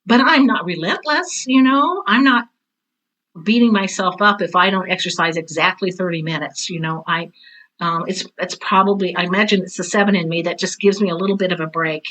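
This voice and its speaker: A woman's voice: on the low side, brisk, a bit bright, low-quality recording. An older woman speaking casually as an interview guest, blunt and candid, with a self-deprecating streak. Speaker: podcast guest, author and drama teacher.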